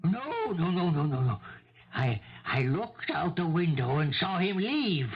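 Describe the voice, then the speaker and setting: An actor playing a cagey, fearful older male neighbor in a 1970s radio mystery, evasive and halting, often repeating himself. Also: on the high side, very animated, breathy edge, exaggerated voice. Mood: wary. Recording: dull old radio broadcast recording.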